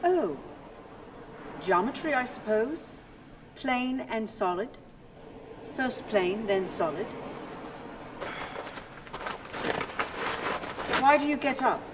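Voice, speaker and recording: Distant, wistful older wife. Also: female voice, fairly high pitch, animated, slow pace, long pauses. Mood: detached, measured. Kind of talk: radio play acting. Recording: distant mic, noisy ambience.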